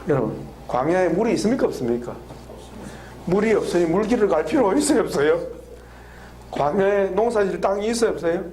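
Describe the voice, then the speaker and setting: A man preaching a lively sermon, laughing often and drawing call-and-response answers from the congregation with frequent questions. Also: high voice, a little fast, slight background noise, low-quality recording. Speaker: pastor. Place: church hall with congregation.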